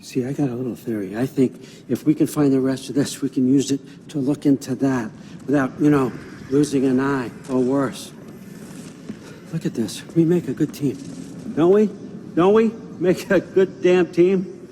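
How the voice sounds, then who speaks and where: An actor playing a scheming, folksy old god in a cinematic video game, with a wry, persuasive, conversational delivery. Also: male voice, mid-range voice, normal speed, warm tone, a little hiss or hum, close to an everyday voice. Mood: sly, needling.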